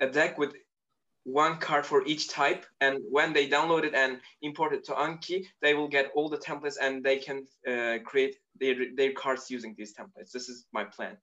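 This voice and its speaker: Man, bright lo-fi voice. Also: medium pitch, steady tone, fairly quick. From Turkey. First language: Turkish. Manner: thoughtful, explains at length with many fillers. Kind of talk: casual video call chat.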